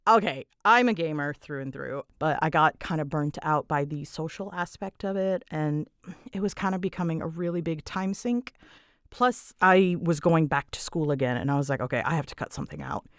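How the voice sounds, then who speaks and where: A woman's voice: low voice, moderate pace, clean tone, dry recording. A woman chatting casually in a rambling, self-deprecating way as an online creator answering listener questions, close to the mic. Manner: chatty, self-deprecating, animated when quoting herself.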